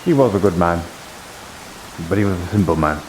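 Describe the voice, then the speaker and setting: A man playing an earnest, devout, plain-spoken holy warrior in an improvised fantasy roleplay, speaking solemnly and haltingly. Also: deep voice, expressive, slight background noise, rough recording. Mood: earnest, reflective. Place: live online VR roleplay stream.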